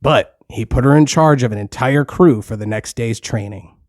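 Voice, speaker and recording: A man's voice: mid-range voice, normal speed, dry recording. Man narrating a story in a dry, close recording. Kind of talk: scripted children's story narration.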